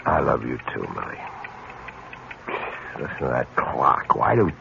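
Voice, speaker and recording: A deep, tired American husband in an old radio play. He is restless and curt, weighed down by worry. The recording is dull with faint noise. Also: big pitch swings, measured pace, gravelly, exaggerated voice. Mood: weary, restless. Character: weary, restless husband burdened by money worries. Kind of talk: 1970s radio drama acting.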